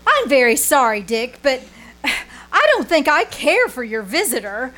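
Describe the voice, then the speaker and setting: A woman's voice: sits high, very animated, measured pace, fairly bright tone, full character voice. A woman playing an indignant, hot-tempered young spitfire in an old-time radio comedy, haughty and emphatic. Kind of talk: 1940s radio comedy acting.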